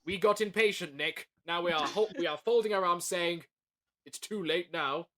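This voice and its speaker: A man's voice: high-pitched, normal speed, pushed and bright. A man chatting casually as part of a creative team, emphatic and often repeating his phrases. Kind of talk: casual chat.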